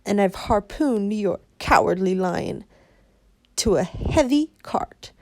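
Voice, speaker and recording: A woman voicing a wicked old witch, gloating, who breaks into shrill panicked screams. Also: animated, takes it slowly, no room sound.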